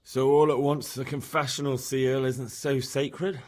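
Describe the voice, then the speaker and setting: A man's voice: medium pitch, level tone, unhurried, a little mellow, natural voice. An actor playing a sceptical, hungover young man in a video game, exasperated and sarcastic. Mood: grudging. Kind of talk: video game cutscene acting.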